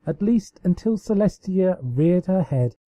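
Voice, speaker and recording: Man, amateur storyteller, dramatic narration, very mellow dark timbre, dry close sound. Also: high voice, animated, measured pace, no room sound. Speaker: amateur fan fiction reader.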